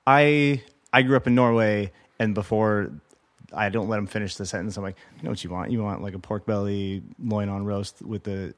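Low-voiced man in casual, self-deprecating chat. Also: fairly even intonation, moderate pace. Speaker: guest, butcher.

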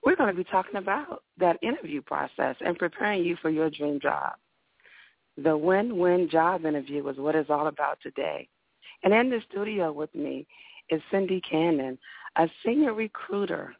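A woman with a raspy voice hosting a radio talk show in a studio, upbeat and often repeating her words. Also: deep voice, expressive, moderate pace, no echo, narrow, tinny audio.

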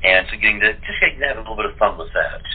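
A man's voice: on the low side, lively intonation, very fast, breathy edge. A man chatting casually as an interview guest, over a muffled telephone-quality connection. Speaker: voice actor guest playing a narrator.

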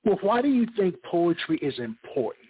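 Male radio host, enthusiastic and encouraging, animated pitch, thin phone-line sound. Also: moderate pace, a little hiss or hum. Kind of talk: interview hosting.

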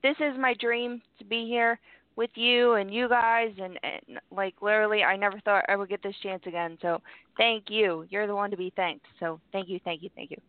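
A woman's voice: mid-range voice, steady tone, normal speed, clean tone, dry recording. A woman co-hosting a radio talk show, playful and giggly, with a habit of doing silly voices. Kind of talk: casual radio banter. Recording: dry, phone-like narrow sound.

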